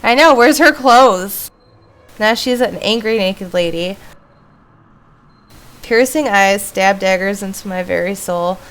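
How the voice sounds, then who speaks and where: A woman's voice: mid-range voice, unhurried, pauses often, fairly bright tone. Woman streamer reading a horror game aloud. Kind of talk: reading a horror visual novel aloud with casual asides. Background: faint music.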